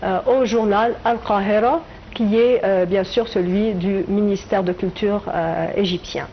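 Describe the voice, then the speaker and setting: A middle-aged woman from Tunisia, a writer and translator, answering interview questions in a reflective way with many hesitant pauses. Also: medium pitch, fairly even intonation, dull audio, a little hiss or hum.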